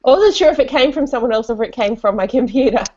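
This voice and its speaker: A woman's voice: on the high side, fast, a little mellow. A chatty Australian woman hosting an informal online discussion. She is giggly and explains things in loose, hedged sentences. The sound is dull and lo-fi, like an internet call. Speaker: host of an online book discussion. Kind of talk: casual chat. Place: live online video call. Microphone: computer call, wearing headphones.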